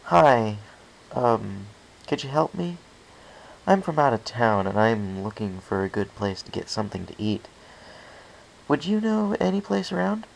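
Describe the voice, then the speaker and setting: A man's voice: mid-range voice, very animated, a little slow, creaky, long pauses, low-quality recording. Man as an awkward, polite pony newcomer, hesitant.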